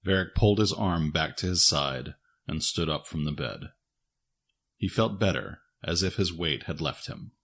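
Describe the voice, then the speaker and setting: Deep, husky man narrating fiction. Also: animated, normal speed, a bit bright. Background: music.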